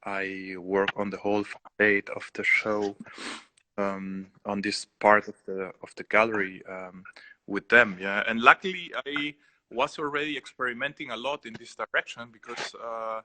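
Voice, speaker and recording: Hesitant Peruvian-accented man, low and bright. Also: normal speed. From Peru. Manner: hesitant, many fillers and restarts. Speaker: artist guest. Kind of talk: casual live interview.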